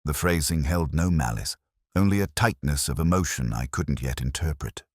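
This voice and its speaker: A man narrating a scripted detective story in the first person, with a measured, reflective, literary delivery. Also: extremely low pitch, lively intonation, a little mellow, no echo, clean studio sound.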